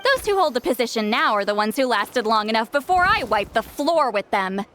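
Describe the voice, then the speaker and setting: A woman's voice: a little high, very animated, brisk, fairly bright tone, a little hiss or hum. A woman voicing a proud, prickly great shaman in a video game, haughty, defensive and theatrical. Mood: haughty, sheepish.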